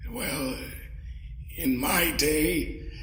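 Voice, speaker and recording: A man voicing an ancient, courtly alien mind-parasite in an audio drama, pompous and smugly menacing, savoring his pauses. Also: on the high side, a bit bright, a little hiss or hum. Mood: politely menacing. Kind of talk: scripted audio drama acting. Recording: roomy echo.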